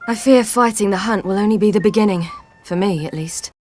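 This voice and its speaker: A frank, headstrong young British warrior woman from a fantasy game, voiced by an actress. She speaks earnestly and directly in a mid-pitched voice, over clear background music. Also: fairly quick, dark tone, lightly acted. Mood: wistful, confiding. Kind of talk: video game voice acting.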